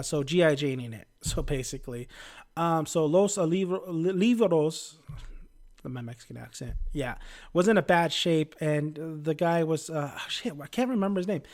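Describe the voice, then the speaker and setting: Young man, casual spoiler review, rambling with fillers, slightly high voice, chatty. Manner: rambling, filler-heavy, loses his train of thought. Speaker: TV episode reviewer.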